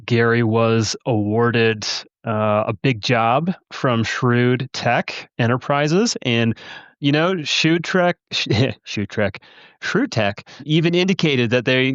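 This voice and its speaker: A man's voice: medium pitch, clear, resonant tone, no room sound. A man co-hosting a podcast in casual chat, recorded with slightly dull sound. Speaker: podcast co-host.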